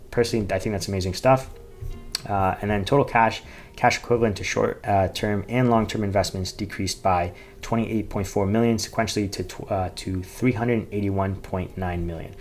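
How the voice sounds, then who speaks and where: A man with a fairly low voice gives off-the-cuff financial commentary as an online video host. He speaks haltingly, circling back and correcting himself as he reads out numbers. There is some background noise on the amateur recording. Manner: halting, repetitive, self-correcting delivery. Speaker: finance YouTuber reviewing company earnings. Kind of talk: informal unscripted commentary, reading out figures.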